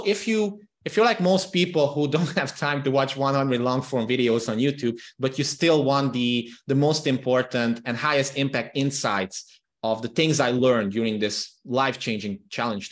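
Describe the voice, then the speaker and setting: A man from Transylvania, a native Hungarian speaker, talks as a guest in a relaxed interview. His pitch sits in a middle range, and he keeps restarting phrases and doubling words as he tells his stories. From Transylvania, Romania. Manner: restarts and repeats words, animated storytelling. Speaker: podcast guest, copywriter. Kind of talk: casual interview talk.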